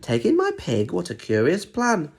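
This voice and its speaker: A man voicing a fretful, grumbling little old lady in a children's picture-book reading, with a plaintive, drawn-out delivery. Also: high-pitched, huge pitch swings, gravelly, a little mellow, husky, pitched above the performer's own voice, heavily acted. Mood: puzzled.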